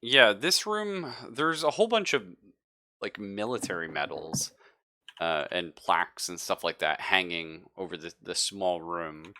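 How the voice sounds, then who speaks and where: A man improvising narration as game master of a tabletop roleplaying game, halting and informal as he thinks aloud. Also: low-pitched, lively intonation, bright, forceful tone.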